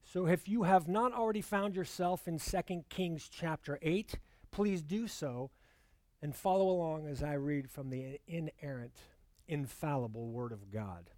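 A man delivering a sermon in an earnest, measured voice. He lingers on key words as he reads scripture. The sound is dry and close, with no room echo. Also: fairly high pitch, darkish tone. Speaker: preacher.

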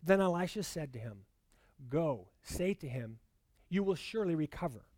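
A man delivering a sermon in an earnest, measured voice. He lingers on key words as he reads scripture. The sound is dry and close, with no room echo. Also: a little high, lively intonation, darkish tone. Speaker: preacher.

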